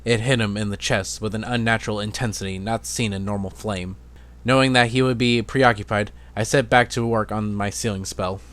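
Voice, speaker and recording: A man's voice: fairly low pitch, fairly even intonation, fairly quick, fairly bright tone. A man narrating fantasy fan fiction as an amateur dramatic reading.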